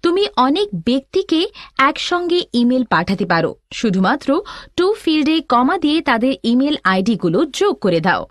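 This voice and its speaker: A woman's voice: high voice, sing-song, moderate pace, dry recording, clean studio sound. A woman giving scripted instructional narration, explaining things step by step as a tutorial instructor.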